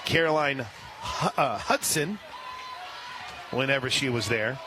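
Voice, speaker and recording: A man doing live radio play-by-play of a softball game, excitable on big plays. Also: mid-range voice, unhurried, low-quality recording.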